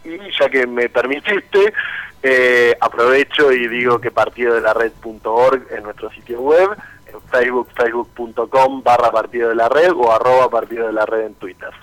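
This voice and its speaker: A man with a Buenos Aires accent answering radio interview questions as a political candidate, measured and articulate. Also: mid-range voice, fairly even intonation, fairly bright tone, poor audio. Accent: Argentine, Buenos Aires.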